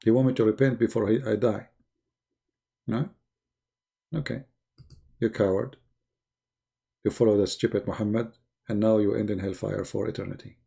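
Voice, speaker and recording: Gravelly, dark-voiced man mocking on livestream. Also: on the low side, steady tone, moderate pace, pauses often. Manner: mocking, rambling, joking asides. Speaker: livestream host. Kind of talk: casual livestream chat.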